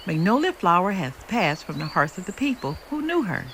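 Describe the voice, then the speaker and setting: A woman's voice: on the low side, big pitch swings, a little fast, fairly bright tone, a little hiss or hum. An older woman voicing a mighty, ancient river in a storybook, grumpy yet indulgent, with solemn, weighty delivery. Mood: solemn, wistful.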